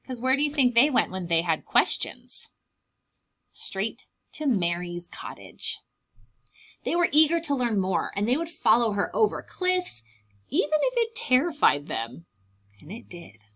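A woman's voice: on the high side, huge pitch swings, a little slow, a bit bright. A young adult woman reading a picture book aloud as a preschool teacher, explaining things simply to young children.

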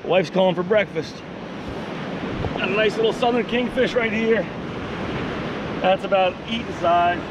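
A man's voice: sits high, measured pace, pauses often. A man in his thirties giving casual, folksy fishing vlog commentary outdoors. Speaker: fishing vlogger. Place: outdoors on an open, windy ocean beach. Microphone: camera on the sand, a few steps away or farther. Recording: distant mic, loud surf and wind. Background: ocean waves and wind.

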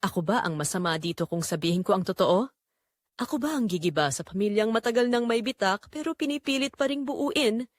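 A woman's voice: medium pitch, a little fast, no echo, clean studio sound, natural voice. A Filipino woman voicing an anxious young son's hesitant, questioning inner thoughts in an audio drama. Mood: torn, anxious. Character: troubled, anxious young son torn by a secret.

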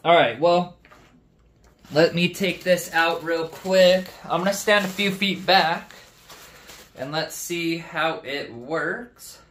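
A man's voice: fairly high pitch, slow pace, fairly bright tone, a little hiss or hum. A man giving casual, slangy, off-the-cuff commentary as he reviews a product. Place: small indoor room with shelves of speakers.

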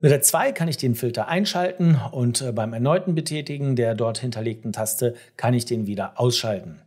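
A middle-aged man presenting a step-by-step software tutorial, explaining things directly to the viewer. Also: medium pitch, brisk, slightly rough.

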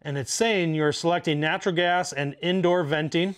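A man's voice: fairly high pitch, steady tone, moderate pace, clean tone. A man in his forties, a plumber, casually talking through an installation step by step in a basement utility room. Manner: practical, walks through steps out loud. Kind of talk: casual how-to demonstration.

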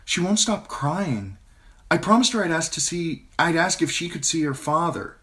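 A man with a deep voice putting on an anxious, polite teenage noble girl, pitching his voice up and pleading. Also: expressive, moderate pace, a bit bright. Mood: worried. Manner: pleading and breathless.

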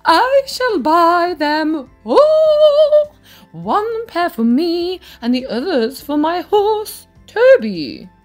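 A woman voicing a flamboyant rich gentleman in a children's storybook, bellowing with theatrical enthusiasm. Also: sing-song, very slow, soft background music, full character voice. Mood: effusive, bellowing. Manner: booming, theatrical.

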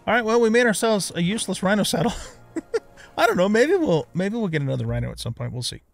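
Man with a creaky voice, casual gaming commentary. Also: high voice, big pitch swings, fast, faint music behind. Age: middle-aged. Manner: thinking aloud, muttering to himself. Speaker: let's play host. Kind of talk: casual video game commentary.

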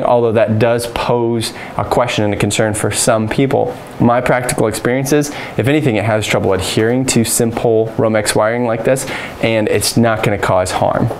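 A man's voice: mid-range voice, steady tone, normal speed. An American man in his thirties, an electrician giving informal, matter-of-fact hands-on instruction in an unfinished basement. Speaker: electrician presenting a how-to tutorial. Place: unfinished basement with exposed joists. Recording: clearly noisy room ambience.

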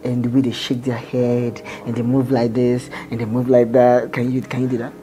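A man playing a pushy, excitable casting director who repeats himself. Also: medium pitch, normal speed, lightly acted, low-quality recording. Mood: excited, amused. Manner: exclamatory, pushy, repetitive.

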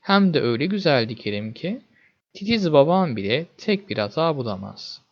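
A man voicing a tiny enchanted girl in a fairy tale, kind, confident and gently reassuring. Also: fairly high pitch, big pitch swings, moderate pace, muffled recording.

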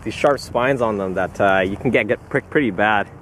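A man's voice: fairly low pitch, moderate pace, fairly bright tone. A young man fishing from a riverside dock, chatting casually, laughing often and explaining tips to viewers, recorded on a body-worn action camera. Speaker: angler filming the outing. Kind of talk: casual outdoor fishing chat. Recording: outdoor camera audio, noise behind.

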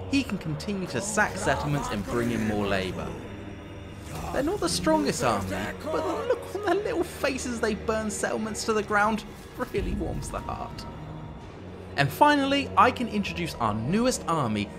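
A man giving playful, wry commentary on a strategy game as he plays. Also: high voice. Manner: wry, tongue-in-cheek. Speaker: gameplay commentator. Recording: voice-over with background music. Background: music.

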